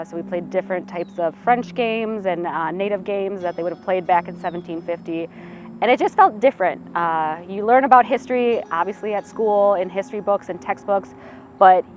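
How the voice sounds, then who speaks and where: A woman in her thirties from Illinois, a museum program coordinator answering interview questions in an earnest, reflective way with frequent "uh" and "um". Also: lowish voice. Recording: slightly muffled audio with faint music behind.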